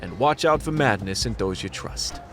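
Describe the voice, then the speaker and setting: A hard-boiled detective played by a man in a fantasy radio drama. He is world-weary and knowing, with brooding, deadpan lines that swing widely in pitch, over faint music. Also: moderate pace. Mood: resigned, wry. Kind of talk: noir radio play narration and dialogue.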